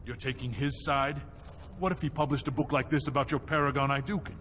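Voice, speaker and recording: A man's voice: mid-range voice, fairly even intonation, brisk, fairly bright tone, natural voice. An actor playing a proud, haughty nobleman in a fantasy game, indignant and accusing as he defends his house. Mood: offended, curt. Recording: music behind the voice.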